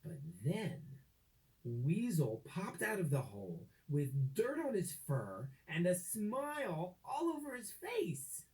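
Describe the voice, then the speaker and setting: A woman reading a children's story aloud expressively, with dramatic, drawn-out phrasing. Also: fairly low pitch, sing-song, a little mellow, rough recording.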